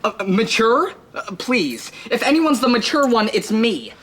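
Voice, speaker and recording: A man's voice: extremely high pitch, sing-song, measured pace, fairly bright tone, full character voice. An actor playing a smug, defensive teenage boy in a sitcom, drawling sarcastic lines. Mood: snarky.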